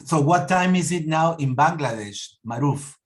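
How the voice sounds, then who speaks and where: A man from Peru hosts an online meeting in a friendly, joking way. His voice is fairly high, and he tends to repeat words. The sound has the feel of a video call. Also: lively intonation, moderate pace. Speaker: meeting host. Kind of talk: casual online meeting hosting.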